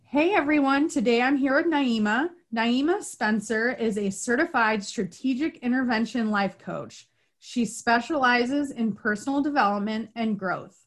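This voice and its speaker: A woman's voice: on the high side, normal speed. A young adult woman hosting a podcast interview, upbeat and laughing often in casual chat. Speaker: podcast host.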